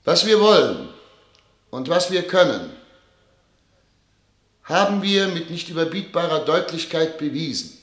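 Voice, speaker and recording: Solemn head of state, radio drama, slow rhetorical delivery, echoey hall, disillusioned, grand. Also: male voice, a little high, very animated, a bit bright, exaggerated voice. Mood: stern, oratorical. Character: solemn, disillusioned male ruler addressing his ministers. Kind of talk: theatrical radio drama oratory.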